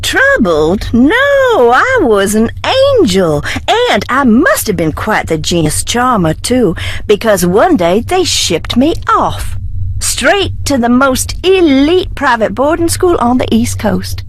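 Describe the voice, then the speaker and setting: An actress playing a bitter, mocking, unstable young heiress in hiding, with theatrical delivery in a video game. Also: female voice, a little high, sing-song, slow, full character voice. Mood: wry, boastful. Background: background music.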